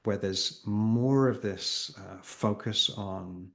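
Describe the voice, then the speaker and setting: Creaky-voiced man explaining emphatically. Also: lowish voice, slow pace, a bit bright. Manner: emphatic, uses rhetorical questions and acted-out examples. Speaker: interview guest, mental health coach. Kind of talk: animated interview conversation, explaining.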